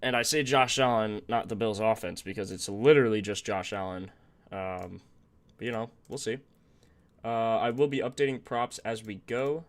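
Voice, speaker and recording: A young man with a slightly raspy, sore-throated voice, hosting a casual live stream, thinking aloud and greeting viewers in the chat. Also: lowish voice, fairly even intonation, normal speed. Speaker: sports betting stream host.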